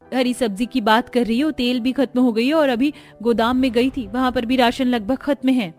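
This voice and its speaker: A woman acts out a young daughter-in-law in a cartoon family drama. She has a high voice, fretful and talkative, full of questions and exclamations, with music behind her. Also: fairly even intonation, normal speed, darkish tone, lightly acted. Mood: worried. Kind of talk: Hindi cartoon dubbing.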